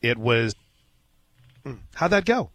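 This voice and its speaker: A man's voice: medium pitch, sing-song, slow, fairly bright tone. A man hosting a live sports radio show, telling stories in a casual, folksy, exclamatory way.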